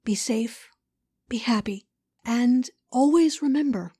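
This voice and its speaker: High-voiced woman hosting a podcast. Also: takes it slowly. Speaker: podcast host. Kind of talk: scripted outro narration.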